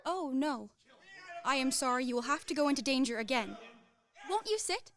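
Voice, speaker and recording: A woman's voice: sits high, lively intonation, moderate pace, fairly bright tone. A proud, spirited young noblewoman. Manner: haughty and spirited. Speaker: Raina. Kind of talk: college radio play acting.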